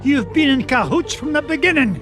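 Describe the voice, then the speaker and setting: An actor playing a feisty old Scottish duck, a rich adventuring uncle, crotchety and indignant in a cartoon game. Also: male voice, very high voice, animated, a little fast. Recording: music behind the voice.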